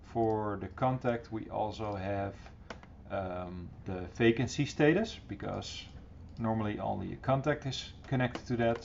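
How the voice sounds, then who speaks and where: A middle-aged man presenting an informal, encouraging software tutorial in a casual style, recorded on a computer microphone. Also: on the low side, creaky. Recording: dull, slightly noisy computer recording.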